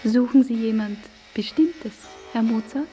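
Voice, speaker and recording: An actress playing a forthright, teasing young aristocratic woman with a husky voice in a German radio drama. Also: on the high side, unhurried, mellow, faint music behind, lightly acted.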